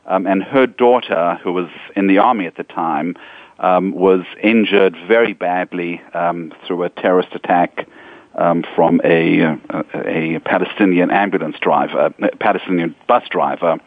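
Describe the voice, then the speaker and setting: Very deep-voiced middle-aged man with a South African accent who speaks earnestly and measuredly. His voice comes over a narrow line that sounds like a telephone, dry and close. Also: no room sound. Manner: earnest, measured, frequent ums. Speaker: nonprofit executive, guest. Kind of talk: interview answers, personal story.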